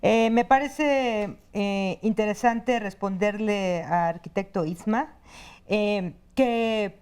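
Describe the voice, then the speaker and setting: Hesitant woman, smooth voice. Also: mid-range voice, slow pace, darkish tone. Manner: hesitant, many "eh" fillers while listing. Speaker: guest, human rights director. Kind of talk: explaining on a talk show.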